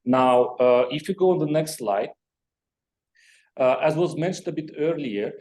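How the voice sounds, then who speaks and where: A man who is a non-native English speaker, presenting financial results as a company executive in an explanatory, measured way. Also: a little high, lively intonation, darkish tone. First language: not English. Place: conference call.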